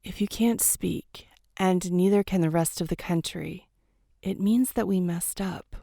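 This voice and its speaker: A woman acting as an earnest doctor's ghost from a war long past. Her voice is lowish and mellow with plenty of vocal fry, recorded close and dry. She speaks frankly and conversationally, as in a radio drama. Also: measured pace, no room sound. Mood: grave, confessional.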